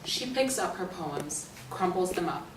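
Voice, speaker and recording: A woman's voice: on the low side, animated, measured pace. A woman narrating scripted stage directions at a play reading. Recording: roomy, distant mic, faint noise.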